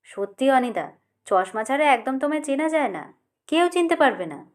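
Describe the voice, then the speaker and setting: A woman's voice: high voice, very animated, normal speed. A woman voicing a simple, familiar young shop helper in a colloquial, gawking manner for an audio story. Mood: amused.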